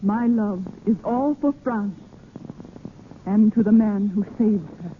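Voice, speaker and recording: A woman's voice: mid-range voice, takes it slowly, phone-quality sound, close to an everyday voice. A woman acting a proud, earnest young noblewoman with formal, dignified speech in a theatrical old-time radio drama. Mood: proud, fervent. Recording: thin, noisy old radio broadcast.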